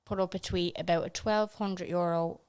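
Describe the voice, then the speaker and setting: A woman's voice: fairly low pitch, steady tone, moderate pace, darkish tone, smooth. A young Irish woman co-hosting a podcast, chatting casually and joking around on a studio mic. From Ireland. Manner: chatty, jokey, laughs often. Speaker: podcast co-host. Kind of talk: casual chat.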